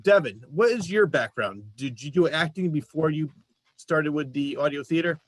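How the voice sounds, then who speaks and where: A man's voice: a little high, expressive, moderate pace, no echo. A man hosting a talk show, chatting casually and putting questions to his guests. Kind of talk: casual interview chat.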